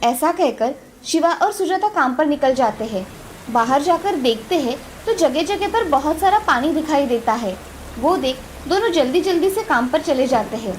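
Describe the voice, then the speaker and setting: A woman's voice: high voice, expressive, normal speed. A woman narrating a scripted moral story as a storyteller. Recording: clearly noisy, with ambience behind.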